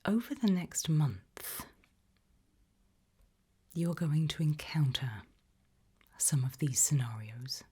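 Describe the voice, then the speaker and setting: Whispering, breathy woman doing slow ASMR. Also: low-pitched, animated. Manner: slow, measured, quietly ominous. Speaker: ASMR host playing a fear-test examiner. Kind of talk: whispered ASMR role-play, addressing the listener.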